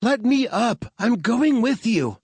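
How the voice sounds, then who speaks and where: A man's voice: high voice, expressive, unhurried, dry recording, pitched above the performer's own voice. A man voicing an injured, stubborn woman scientist who insists on going into danger, speaking urgently in a raised voice. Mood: frantic.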